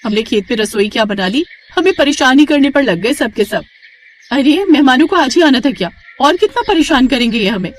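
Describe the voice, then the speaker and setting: Casting: female narrator as young village bahu for an animated moral tale, high plaintive pitch, protesting, noisy track. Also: brisk. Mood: exasperated. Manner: complaining, plaintive. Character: young village daughters-in-law, whiny, protesting, defiant.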